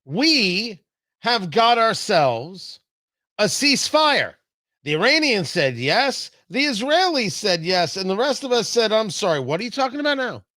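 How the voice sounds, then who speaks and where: A man hosting a talk radio show, delivering an opinionated political monologue theatrically, with emphatic repeats and dramatic pauses on a close studio mic. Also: sits high, big pitch swings, sharp tone, no echo. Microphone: close studio microphone.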